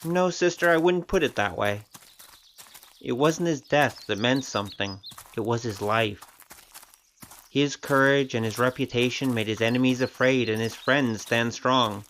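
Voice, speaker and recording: A loyal wandering fighter with a taste for gambling, played by a man in an audio drama. His voice sits at a medium pitch, casual and wry but sincere underneath. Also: a little slow, fairly bright tone, slight background noise. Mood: wry, reflective.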